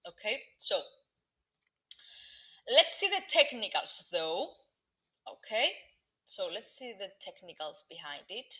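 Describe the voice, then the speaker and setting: Bright-voiced Greek Cypriot woman analyst. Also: medium pitch, sing-song, slow, long pauses. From Cyprus. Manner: hesitant, many fillers and restarts, chatty asides to viewers. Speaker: market analyst presenting a live webinar. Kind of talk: live market commentary.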